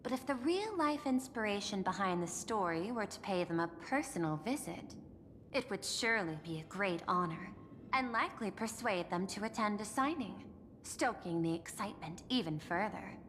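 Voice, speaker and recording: An actress voicing a poised, persuasive corporate executive in a video game, speaking in a polished, formal and measured way. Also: female voice, mid-range voice, animated, fairly bright tone, in a large hall, a little hiss or hum, close to an everyday voice. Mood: confident, grand.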